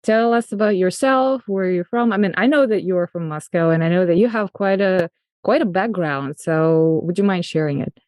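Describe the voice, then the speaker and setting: A woman's voice: lowish voice, moderate pace, darkish tone, no echo, studio quality. A woman hosting a podcast interview, eager and enthusiastic, often restarting her phrases. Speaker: podcast host. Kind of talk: casual interview.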